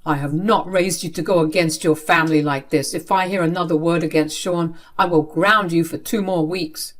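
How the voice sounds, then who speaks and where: A woman's voice: fairly low pitch, steady tone, normal speed, lightly acted. A woman voicing an angry, unfair father in a dramatized story, speaking in a stern, accusatory way. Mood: stern, scolding.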